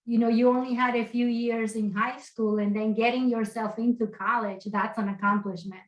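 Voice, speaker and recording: A middle-aged woman hosts a casual live-stream interview over a video call. She speaks in a slightly high voice and keeps encouraging and affirming her guest. The sound carries the echo of the room around her. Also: fairly even intonation, moderate pace, dull audio. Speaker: host.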